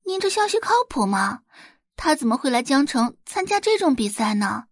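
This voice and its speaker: A female performer puts on a young heiress for an audio drama. Her pitch is lifted and very lively, in a dry recording. She is proud yet inquisitive, exclaiming and questioning. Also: moderate pace, no room sound. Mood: surprised. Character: proud, curious young rich daughter.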